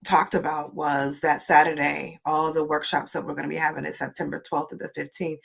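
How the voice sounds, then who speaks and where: A woman guest on a video call, sounding wheezy and hoarse, talking hesitantly with many pauses and ums. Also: low voice, level tone, a bit bright. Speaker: guest speaker. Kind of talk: casual online interview.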